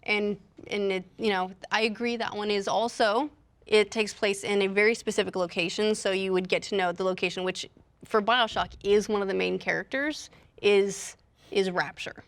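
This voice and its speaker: A woman's voice: medium pitch, fairly even intonation, moderate pace. A woman talking informally and explaining her views as a panelist on a casual discussion show. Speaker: panelist on a video game and movie discussion show. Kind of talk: casual chat.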